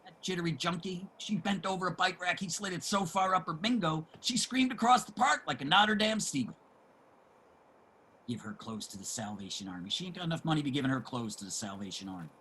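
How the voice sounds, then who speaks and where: A man playing a streetwise homeless man in a play reading, generous and opinionated, with salty, emphatic street talk and a husky voice. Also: fairly high pitch, animated, fairly quick, pushed and bright, a little hiss or hum. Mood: scolding, gossipy. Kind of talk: dramatic table reading of a stage play.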